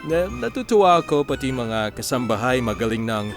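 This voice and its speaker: A man's voice: medium pitch, very animated, normal speed, over music. A man playing a proud, contented husband and father in a Filipino radio drama. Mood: pleased.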